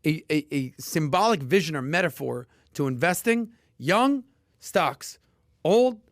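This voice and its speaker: A man hosting a casual podcast and explaining investing in an emphatic, animated way, with sudden loud bursts. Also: fairly high pitch, a little slow, no echo, studio quality. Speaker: podcast host.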